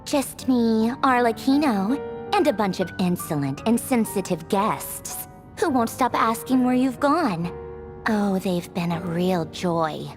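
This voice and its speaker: A woman's voice: mid-range voice, lively intonation, slow, music in the background. An actress playing a haughty, sardonic young female villain officer in a video game, dismissive and theatrical, with wistfulness underneath. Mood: sarcastic.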